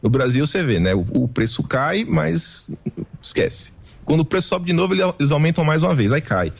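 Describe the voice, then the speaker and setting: A man from Bahia with a Bahian Brazilian accent, a remote guest giving animated, casual political commentary. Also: a little high, a little fast, gravelly, slightly rough, lo-fi sound. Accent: Bahian Brazilian Portuguese. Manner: animated, mimics others' voices in quotes.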